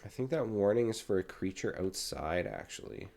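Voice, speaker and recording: Man, young adult, low voice, raspy from a cold, mellow, relaxed gameplay chat. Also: moderate pace. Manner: relaxed, chatty, talks while playing. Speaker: gaming channel host. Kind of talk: casual gameplay commentary.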